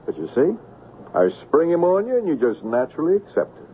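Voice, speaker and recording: A slick, resourceful con man in a seventies radio play. His delivery swings widely in pitch as he persuades and schemes. The sound is thin and narrow, with clear background noise. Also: sing-song, a little fast. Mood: gleeful, smug. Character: smooth-talking, resourceful male swindler, falsely diffident. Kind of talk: 1970s radio drama acting. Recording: tinny band-limited old radio broadcast, noisy.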